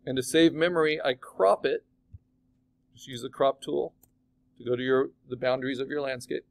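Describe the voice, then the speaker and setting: A man teaching a digital art class, chatting casually and asking his students questions as he demos the software. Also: mid-range voice, steady tone, moderate pace, vocal fry. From California. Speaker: art instructor.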